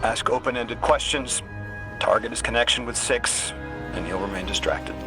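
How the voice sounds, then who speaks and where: Man playing a cool, calculating schemer, mid-pitched. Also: moderate pace, over music, natural voice. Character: cool, calculating male schemer coaching an ally. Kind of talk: video game cutscene acting.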